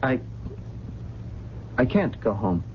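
Hesitant, courteous lost gentleman, muffled. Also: mid-range voice, big pitch swings, slow. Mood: lost, pleading. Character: polite, lost, well-dressed gentleman with amnesia. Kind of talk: 1970s radio drama acting. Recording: muffled, noisy old radio recording.

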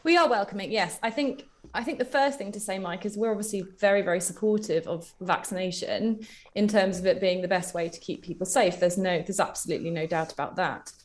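A woman speaking as a charity spokeswoman in a radio interview, measured, diplomatic and careful in how she argues her case. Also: mid-range voice.

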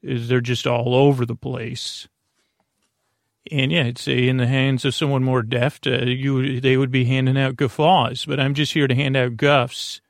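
Creaky-voiced man rambling slowly. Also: mid-range voice, little pitch movement, no echo, clean studio sound. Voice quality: creaky, gravelly. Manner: meandering, halting, self-deprecating. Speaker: sleep podcast host. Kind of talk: rambling monologue.

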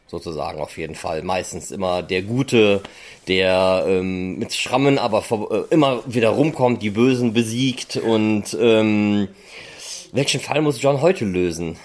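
Male fan-podcast host, fairly low pitch, informal interviewing, rambling conversational questions. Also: a little mellow. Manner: chatty, loosely phrased questions.

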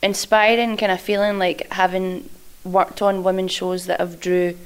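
Joking woman, lower voice. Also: little pitch movement, moderate pace, smooth. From Scotland. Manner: jokey, laughs often. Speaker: guest wrestler. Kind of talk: casual interview chat.

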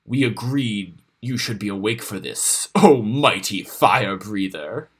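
A man's voice: lowish voice, animated, slow, slightly rough, full character voice. A man voicing a huge, vengeful wolf leader in a storybook, with a hard, harsh voice and contemptuous, venomous delivery. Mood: mocking.

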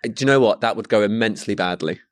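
A man talking at a brisk clip with a chatty, self-deprecating humor, co-hosting a podcast. His voice is close and dry, with no room sound around it. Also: on the low side, no echo. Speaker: podcast co-host. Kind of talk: casual podcast chat. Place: room at a training ground next to a gym.

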